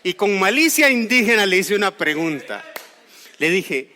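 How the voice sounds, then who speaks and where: A man's voice: high-pitched, very animated, normal speed, bright, forceful tone, long reverb tail. A man from Costa Rica preaching a sermon, explaining scripture with personal stories and light humor. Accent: Costa Rican Spanish. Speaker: pastor. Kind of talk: sermon with storytelling.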